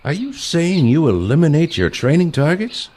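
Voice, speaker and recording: An actor playing an older retired male assassin, a stern mentor with a dry, curt, deadpan manner in a comic audio play. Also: on the high side, normal speed, a little hiss or hum. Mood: matter-of-fact.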